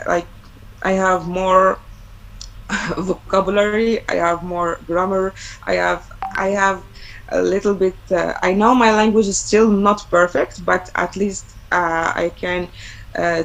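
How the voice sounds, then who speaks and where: A woman's voice: on the low side, creaky, poor audio. A young Algerian woman, a native Arabic speaker, speaking English as a webinar guest over a video call, hesitant, with many fillers and repeated words. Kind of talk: personal talk on studying abroad.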